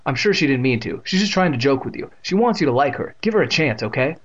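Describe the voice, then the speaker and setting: A man's voice: mid-range voice, sing-song, fast talker. Man acting a strained, coaxing mother. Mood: coaxing, earnest. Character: strained, snappish mother coaxing her young son. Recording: dull lo-fi audio.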